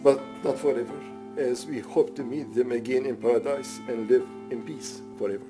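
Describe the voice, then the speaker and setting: A bereaved father reading a prepared statement aloud in a solemn, measured, formal manner. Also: mid-range voice, steady tone, music in the background.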